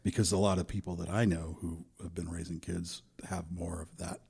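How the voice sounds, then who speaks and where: Male co-host, very deep and husky voice. Brief supporting interjections. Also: little pitch movement, brisk, darkish tone. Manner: adds brief supporting points. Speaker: podcast co-host. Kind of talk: casual podcast chat.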